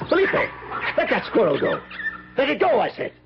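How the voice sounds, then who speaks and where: A man acting in an old-time radio drama as an earnest, courteous gentleman, narrating and speaking in a formal, theatrical manner. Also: extremely high pitch, huge pitch swings, moderate pace, vocal fry, exaggerated voice. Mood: outraged, stern. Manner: formal, theatrical, solemn, hesitant. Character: earnest, courteous gentleman recounting a haunting memory. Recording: dull old radio broadcast, music behind.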